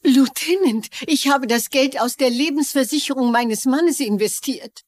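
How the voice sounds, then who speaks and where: An indignant, gushing widow. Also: female voice, high voice, measured pace, a little mellow, dry recording. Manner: rambling, effusive. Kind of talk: German-dubbed television crime drama.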